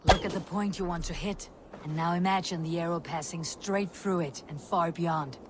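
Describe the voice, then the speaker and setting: An actress voicing a steady, stoic female Viking warrior in a video game, measured and dry in manner. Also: fairly low pitch, heavy background noise, natural voice. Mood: steady, instructing. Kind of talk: video game character acting.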